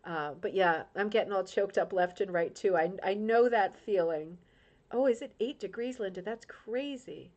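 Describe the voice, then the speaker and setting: A woman's voice: mid-range voice, moderate pace, a little mellow, muffled recording. Chatty woman hosting a livestream. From Rhode Island, United States. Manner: chatty and emotional, with frequent tag questions. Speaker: host of a crafting club livestream. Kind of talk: casual livestream chat, reading viewer comments.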